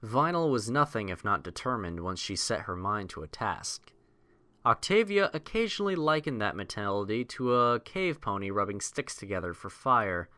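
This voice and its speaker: A man narrating a scripted story as an audiobook reader, in measured literary storytelling. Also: fairly low pitch, very animated, sharp tone.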